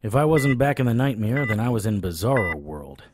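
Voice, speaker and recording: An actor playing a world-weary supernatural private eye, narrating an audio drama in a wry, deadpan way. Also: male voice, fairly low pitch, fairly quick, background noise. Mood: wry, recollecting. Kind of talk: noir first-person audio drama narration.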